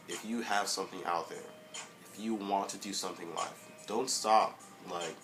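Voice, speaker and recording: Low flat-voiced young man, halting. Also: noisy background. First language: English. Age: young adult. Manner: halting, many fillers and restarts. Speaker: vlogger. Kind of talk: casual motivational monologue.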